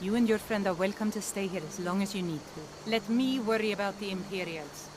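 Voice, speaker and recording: A woman's voice: mid-range voice, fairly quick, background noise, natural voice. An actress playing a protective, hospitable village mother and sister in a fantasy video game, speaking firmly and earnestly.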